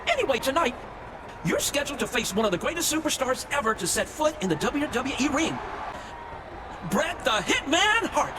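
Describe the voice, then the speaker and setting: A man playing a flamboyant, excitable wrestling manager, loud and full of jive talk, in a video game. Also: very high voice, moderate pace. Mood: excited, brash. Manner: loud, jive-talking showman. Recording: very noisy, loud crowd.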